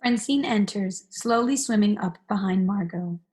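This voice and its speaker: A woman's voice: medium pitch, normal speed, a little mellow, close to an everyday voice. A woman reading scripted stage directions as the narrator of a radio play.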